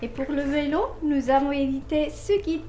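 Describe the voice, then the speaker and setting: Female tourism staffer, Italian accent, high voice, scripted presentation over music. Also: flat delivery, normal speed. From Italy. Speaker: tourist office staff member.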